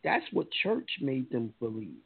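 A man's voice: medium pitch, huge pitch swings, unhurried, vocal fry, breathy edge. A man with a New York accent hosting a talk radio show, blunt and profane in his opinions. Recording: lo-fi phone-line sound with faint music.

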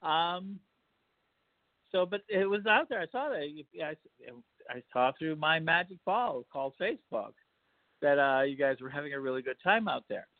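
A man's voice: fairly high pitch, lively intonation, normal speed, dry recording. Older man hosting over a tinny line. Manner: rambling, hesitant with many fillers. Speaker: podcast host. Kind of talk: casual podcast talk.

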